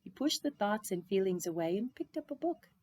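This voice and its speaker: A woman reading a children's picture book aloud expressively, drawing out words and dropping into hushed phrases for drama. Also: medium pitch, big pitch swings, brisk, dry recording. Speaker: storybook reader.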